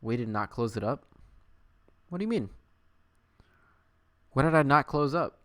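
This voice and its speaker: Male livestream host, playful and theatrical reader, medium pitch, very dry close sound. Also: animated, measured pace, a bit bright, no room sound. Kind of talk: dramatic fan fiction reading and casual stream chat.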